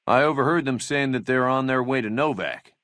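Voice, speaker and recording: A man's voice: medium pitch, a little fast, a bit bright, no room sound, close to an everyday voice. Guy doing a meek fussy deputy from a video game, self-important and overly polite, close dry recording. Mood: eager, fussy. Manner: fussy, wordy, mannered politeness with hesitant stammers. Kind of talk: scripted game dialogue acting.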